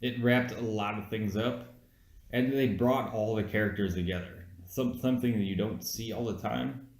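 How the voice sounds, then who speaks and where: A man's voice: lowish voice, steady tone, normal speed, a little mellow, roomy sound. A man co-hosting a casual movie review, explaining his points insistently in loose, sweary conversation. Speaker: co-host of a movie review podcast.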